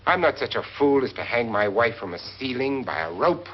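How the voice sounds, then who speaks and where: An actor playing a wealthy, icy, imperious middle-aged husband in a theatrical 1950s horror film style. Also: male voice, medium pitch, sing-song, fairly quick, a little hiss or hum, close to an everyday voice. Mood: measured, suspicious. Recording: muffled 1950s film soundtrack with music.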